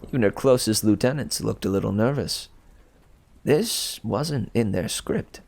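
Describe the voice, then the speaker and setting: A man reading a storybook aloud as expressive narration, with a gravelly voice. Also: on the low side, a little slow. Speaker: storybook reader.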